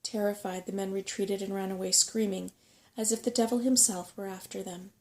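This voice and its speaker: A soft-spoken woman reading a bedtime story in a gentle, unhurried ASMR style. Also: medium pitch, flat delivery. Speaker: audiobook-style reader.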